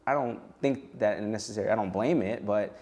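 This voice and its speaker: Low-voiced thoughtful man in his thirties. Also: a little mellow. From United States. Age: 30s. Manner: thoughtful, measured, personal. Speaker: guest poet and educational consultant. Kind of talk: reflective interview answers. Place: television studio.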